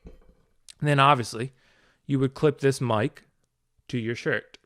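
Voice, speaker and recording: A man's voice: mid-range voice, fairly even intonation, slow pace, bright, forceful tone, no room sound. A man hosting a casual, unscripted tutorial, talking informally and a bit ramblingly as he demonstrates. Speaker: video tutorial host, hobbyist. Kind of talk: casual unscripted product walkthrough.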